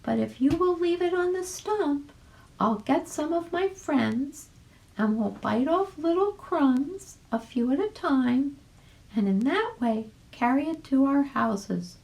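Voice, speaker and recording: A tiny storybook red ant, squeaky and high. The pitch bounces around with a crackly edge. She is polite, prim and full of practical sense. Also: animated, takes it slowly, creaky, darkish tone, exaggerated voice. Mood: grateful.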